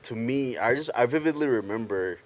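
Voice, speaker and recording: A young man from Ghana, a panel guest telling animated stories in a casual group chat, often laughing as he talks. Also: medium pitch, fairly quick. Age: young adult. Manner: animated storyteller, laughs through anecdotes.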